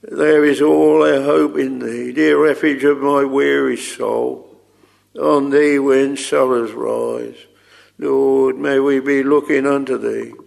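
Man, solemn prayer, very gravelly, flat pitch. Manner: solemn, archaic thee and thou, halting with pauses. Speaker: leading public prayer. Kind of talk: extempore spoken prayer.

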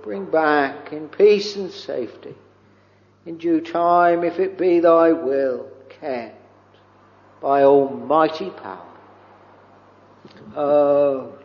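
A man leading a slow, pleading extempore prayer from the pulpit, intoning in old-fashioned English with many interjections of "oh" and "Lord". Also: on the high side. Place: church or chapel. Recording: dull lo-fi recording with long hall reverb.

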